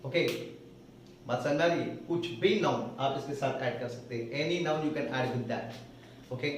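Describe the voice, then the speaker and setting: Echoey Hindi-accented English teacher. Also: male voice, mid-range voice, slight background noise. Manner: explains slowly, repeats phrases word by word. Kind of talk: classroom grammar lesson. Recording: echoey room, voice from far off.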